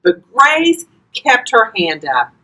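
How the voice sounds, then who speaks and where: An older woman reading a children's storybook aloud expressively, talking directly to young listeners in a husky voice. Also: fairly high pitch, huge pitch swings, slow, pushed and bright, rough recording. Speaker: volunteer grandparent reading to children.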